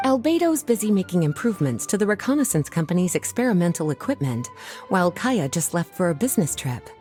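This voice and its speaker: A woman voicing a poised, indulgent librarian in a video game, velvety, articulate and gently teasing. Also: on the low side.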